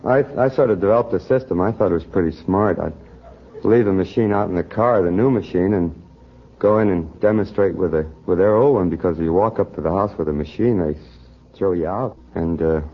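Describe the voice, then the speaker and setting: Halting low-voiced American man, old radio. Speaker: quiz show contestant. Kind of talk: casual interview answers. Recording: dull, noisy old radio recording.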